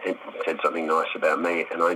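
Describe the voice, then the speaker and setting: A man's voice: extremely low pitch, little pitch movement, fast, noisy background. A middle-aged man answering interview questions casually, thoughtful and hesitant, with some self-deprecation. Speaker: actor, interview guest.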